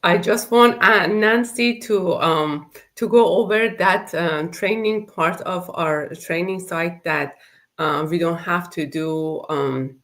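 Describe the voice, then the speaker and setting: Woman host, lowish voice, halting casual chat. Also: a bit bright. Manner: hesitant, halting with fillers.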